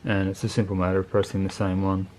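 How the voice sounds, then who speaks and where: A man with a lowish voice talks through a piece of gear off the cuff. His delivery is very monotone and laid-back, with plain, colloquial phrasing. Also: quick pace, slight background noise. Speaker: motorcycle video channel host reviewing gear. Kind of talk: casual unscripted product review.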